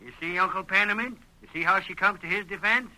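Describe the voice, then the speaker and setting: A needling, tattling cowhand from the American West, spiteful yet eager to please. His voice is very bright and pushy, set a little high. It is broad old-time radio western acting on a vintage recording. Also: quick pace, rough recording. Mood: taunting. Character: surly, tattling young cowhand, spurned suitor, spiteful and eager.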